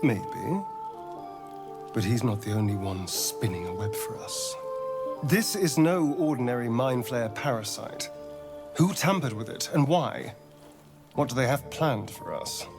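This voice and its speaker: An actor playing a sly, sardonic vampire rogue in a video game, speaking in an arch, theatrical drawl. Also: male voice, medium pitch, big pitch swings, measured pace. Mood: suspicious. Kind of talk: dramatic game character acting. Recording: music behind the voice.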